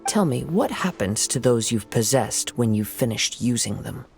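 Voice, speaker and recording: An actor voicing a calm, perceptive young wandering swordsman who speaks in a measured, analytical way. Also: mid-range voice, vocal fry. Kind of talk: video game voice acting. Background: game background music.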